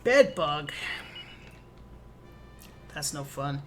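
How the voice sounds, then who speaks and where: A woman's voice: sits low, expressive, very slow, a bit bright. A woman with a Minnesotan accent, chatting casually and playfully while streaming a game. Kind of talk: casual gameplay chat. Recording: game music behind.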